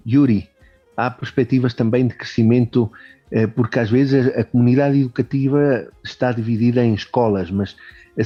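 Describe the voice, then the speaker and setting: Male interviewer, host, mellow tone, measured questioning, muffled lo-fi sound. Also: medium pitch.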